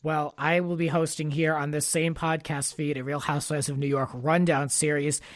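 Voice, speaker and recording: A man's voice: on the high side, little pitch movement, moderate pace, no echo. A man hosting a podcast, chatting casually and giving his opinions freely with lots of filler words. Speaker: podcast host. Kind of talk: casual pop culture chat.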